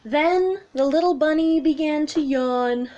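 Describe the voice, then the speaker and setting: A woman with a high voice gives a storytime read-aloud for young children. She takes her time and explains things simply, in the expressive way of a children's teacher. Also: unhurried, highs cut off, slight background noise. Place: small room at home, indoors.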